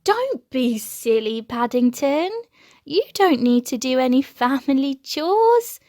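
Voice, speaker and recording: A woman's voice: high-pitched, sing-song, slow pace, dark tone, no room sound, studio quality, pitched above the performer's own voice, full character voice. A woman voicing a flustered, kindly, easily exasperated father in a children's storybook, with an exclamatory, protesting manner. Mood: amused, dismissive.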